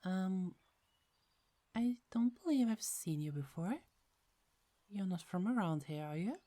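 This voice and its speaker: A woman voicing a gentle, chatty young goddess in an ASMR roleplay, speaking softly with frequent little laughs. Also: lowish voice, lively intonation, measured pace. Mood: friendly. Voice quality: soft, half-whispered, giggly.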